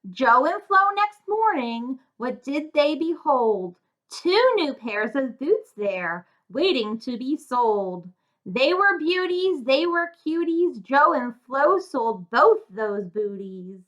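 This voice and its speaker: A woman's voice: sits high, sing-song, slow pace, a bit bright, rough recording. A woman reading a rhyming fairy tale aloud to children in a sing-song way, often turning to her young listeners with questions. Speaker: children's story reader and tutor.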